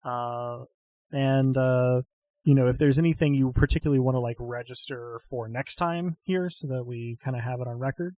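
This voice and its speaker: A man hosts a tabletop role-playing podcast as its game master, speaking at an ordinary middle pitch. He talks in a loose, halting way, padding his sentences with fillers and little chuckles. His voice sounds close and dry, with no room around it. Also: warm tone, dry recording.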